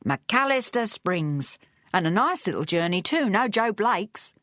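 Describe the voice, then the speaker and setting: A man's voice: high voice, sing-song, measured pace, a bit bright, no room sound, clean studio sound, exaggerated voice. A woman voicing a proud, easygoing Australian bushman in an audiobook, cheerful and slangy.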